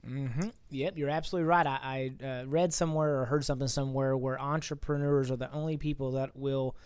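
A man's voice: medium pitch, steady tone, brisk, a little mellow, clear, resonant tone, no echo. Rambling male podcast host. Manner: rambling, repeats words while thinking. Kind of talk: casual interview chat.

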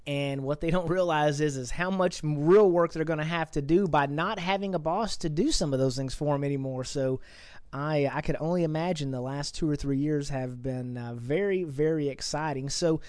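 A man's voice: a little high, moderate pace, darkish tone, clean tone, no echo. A man hosting a podcast interview, talking casually and rambling, often repeating words as he thinks. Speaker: podcast host.

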